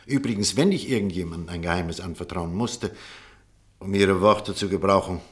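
An actor playing a weary, evasive middle-aged doctor in a German radio drama, speaking in a measured, restrained and deliberate way. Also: male voice, sits low, lightly acted. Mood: defensive, firm.

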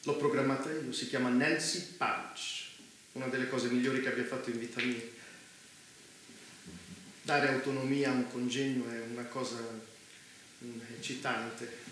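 A man's voice: medium pitch, fairly even intonation, normal speed, long pauses, a bit bright, natural voice. An actor playing an urbane, evasive man of power behind a mass killing, polite yet domineering in a theatre dialogue. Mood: smug, playful. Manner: polite but domineering, precise, abruptly probing. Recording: very echoey room, faint noise.

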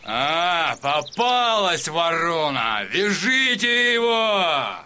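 An actor playing a cruel, gloating Polish commander who gives orders with contempt in an audio drama. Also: male voice, a little high, big pitch swings, drawn-out words, sharp tone, a little hiss or hum, full character voice.

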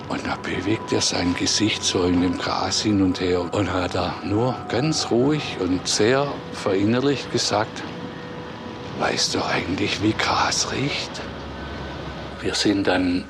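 A man's voice: sits low, vocal fry. A man in an interview telling a memory in a thoughtful, descriptive way. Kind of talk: interview recollection.

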